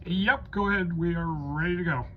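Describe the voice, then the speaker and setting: A halting, courteous man speaking as the controller of an amateur radio net, in procedural style. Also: on the high side. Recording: dull lo-fi audio, faint noise.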